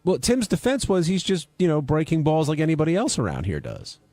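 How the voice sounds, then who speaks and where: A man's voice: fairly high pitch, very animated, a little fast, mellow, dry recording. A man hosting a casual talk panel, putting probing questions to the others. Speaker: panel host. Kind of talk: casual talk show moderation.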